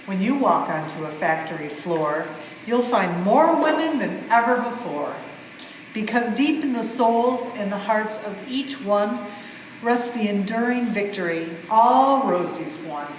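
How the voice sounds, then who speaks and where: A woman reading a rhyming storybook aloud in an expressive, measured way, as for a museum storytime. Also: mid-range voice. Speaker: museum staff member reading a children's book aloud. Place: indoors in a museum exhibit space. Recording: very echoey reverberant hall, faint background noise.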